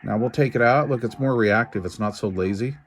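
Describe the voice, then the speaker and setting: A man with an average-pitched voice talks through hands-on repair work. He is informal and folksy, thinking aloud as he goes. His delivery is halting and casual, like an unscripted demonstration. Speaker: radio repair technician and video host. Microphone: handheld camera while working.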